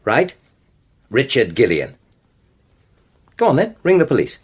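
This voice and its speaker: An actor playing a determined, dryly courteous middle-aged English publisher and wronged husband in a naturalistic radio drama. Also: male voice, mid-range voice, sing-song, unhurried, husky, exaggerated voice. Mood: confrontational.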